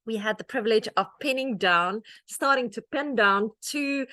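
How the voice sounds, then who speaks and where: A woman's voice: on the high side, moderate pace, no echo. A middle-aged South African woman with an Afrikaans accent, Afrikaans being her first language, giving a personal faith testimony to an audience in an earnest, conversational way. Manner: earnest and conversational, many restarts and "um"s, animated when telling stories. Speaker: guest speaker, author.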